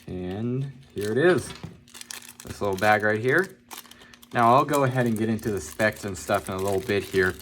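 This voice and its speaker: A man giving a casual, informal product review, talking in a halting way and hedging his opinions. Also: lowish voice, animated, fairly bright tone, slight background noise. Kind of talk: casual unboxing and review talk.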